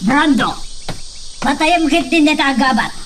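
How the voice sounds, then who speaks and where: A woman's voice: high voice, expressive, measured pace, a bit bright, slight background noise. A woman playing a haughty, vengeful wealthy matriarch who scolds imperiously in an Ilocano radio drama, with an Ilocano accent. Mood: menacing.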